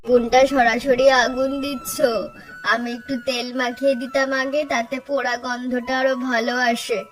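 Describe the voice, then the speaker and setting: A woman voicing a homely, chatty village housewife in cartoon story dialogue, expressive and conversational. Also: on the high side, moderate pace, soft background music, close to an everyday voice, rough recording. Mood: chatty, competitive.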